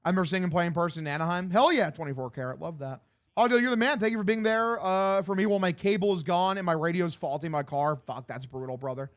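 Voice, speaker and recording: A man's voice: sits high, quick pace. A man in his twenties livestreaming casual baseball play-by-play and chat, talking in a slangy, profane, bro-like way. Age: 20s. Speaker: sports streamer calling a baseball game and answering chat.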